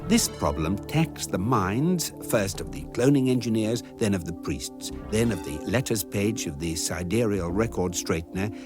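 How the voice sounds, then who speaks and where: An actor playing a wry, measured guidebook narrator, delivering scripted comic radio narration deliberately and drily. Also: male voice, fairly low pitch, close to an everyday voice. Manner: deadpan, droll, deliberate. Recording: music behind the narration.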